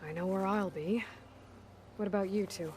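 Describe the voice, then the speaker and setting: A woman voices a determined young hunter heroine who speaks directly and asks pointed questions. Game music plays clearly under her. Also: mid-range voice, fairly even intonation, moderate pace, darkish tone, lightly acted. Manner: direct, curious. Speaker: game protagonist. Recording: game audio with music behind.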